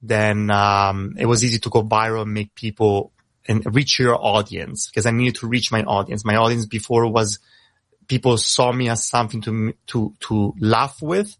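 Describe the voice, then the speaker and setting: A man's voice: on the low side, steady tone, fairly bright tone, dry recording. Italian-accented man, dry close mic. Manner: fast, self-correcting, repeats words when starting thoughts. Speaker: guest rapper. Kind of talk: casual radio interview.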